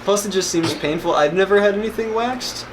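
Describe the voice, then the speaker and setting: A man's voice: high-pitched, fairly quick, a crowd in the background. A young man from West Virginia with no regional accent, chatting casually as a vlog co-host, rambling with lots of "like" and "uh". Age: young adult. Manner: rambling, filler-heavy, "like" often. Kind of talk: casual chat. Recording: noisy home recording.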